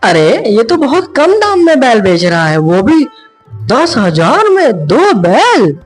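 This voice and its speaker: A woman's voice: on the high side, huge pitch swings, slow pace, soft background music, exaggerated voice. A woman voicing a poor, honest, worried village husband in a children's cartoon story, earnest and plaintive. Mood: surprised, eager.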